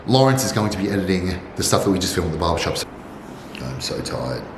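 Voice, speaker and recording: A man's voice: low-pitched, very animated, quick pace. A man with a congested voice, speaking in formal, wordy phrasing as he owns and narrates his barbershop vlog. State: congested, sick. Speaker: barbershop owner and vlogger. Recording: roomy echo with faint noise.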